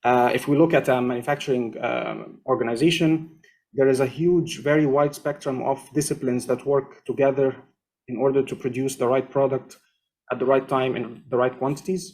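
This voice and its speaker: A man speaking on an online conference panel over a video call, explaining at length in a measured way with frequent fillers. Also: mid-range voice, fairly even intonation, a little mellow.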